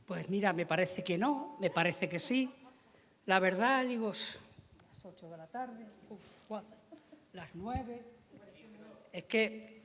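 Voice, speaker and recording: A man's voice: sits high, a bit bright. A man with an Andalusian Spanish accent speaking informally at a presentation as a sound technician, in a chatty, colloquial way. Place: large indoor hall at a public event. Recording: echoey hall, long reverb.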